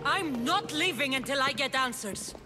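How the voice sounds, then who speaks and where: An actress playing a bold, determined young mercenary in a video game, confident and direct. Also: female voice, sits high, expressive, moderate pace, noisy background. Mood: angry, insistent. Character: bold, determined young female mercenary, hardened and self-assured. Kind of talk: dramatic video game acting.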